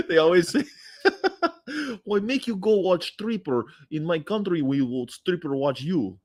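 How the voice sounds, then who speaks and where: A man putting on an exaggerated Russian comedian accent, slow and jokey. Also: high voice, a little mellow, voice pushed up, exaggerated voice. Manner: exaggerated, slow, punchline delivery.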